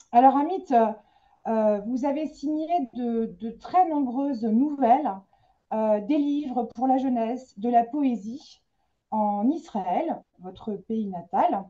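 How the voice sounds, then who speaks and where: A woman's voice: fairly high pitch, dark tone, lo-fi sound. A woman interviewing an author, introducing the guest with frequent hesitations. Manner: hesitant, many fillers. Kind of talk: literary interview, reading an introduction.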